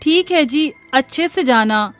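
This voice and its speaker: An innocent village wife in a cartoon tale. Her voice is piercingly high and bright, with an earnest simplicity. Music plays behind it. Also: expressive, a little slow, full character voice. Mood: gentle. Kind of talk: horror cartoon story acting. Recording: background music under the voice.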